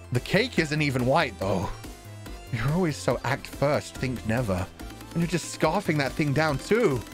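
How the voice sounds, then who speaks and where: A man streaming a game, reading its dialogue aloud with jokey ad-libs in a playful, animated manner. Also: mid-range voice. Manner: animated, stammering for effect, jokey. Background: visual novel game music.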